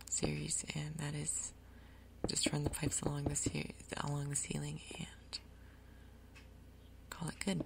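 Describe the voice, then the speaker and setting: A woman speaks very low and softly, with a whispery edge and plenty of vocal fry. She narrates her gameplay casually in an ASMR style, unhurried and thinking aloud, with little movement in pitch. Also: background noise. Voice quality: soft, whispery, breathy undertone. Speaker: gaming video host. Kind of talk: soft-spoken ASMR Minecraft gameplay commentary.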